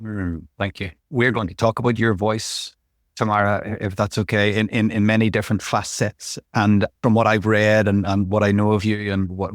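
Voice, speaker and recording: Soft-spoken man hosting, lowish voice. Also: no echo, clean studio sound. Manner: soft-spoken and searching, with stammered repetitions. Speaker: podcast host. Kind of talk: gentle interview questioning.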